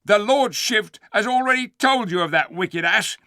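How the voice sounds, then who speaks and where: A man's voice: sits high, expressive, moderate pace, bright, forceful tone, no room sound, studio quality, voice pushed up, heavily acted. A man doing a thundering, stern warrior captain. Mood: commanding. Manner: thundering, threatening.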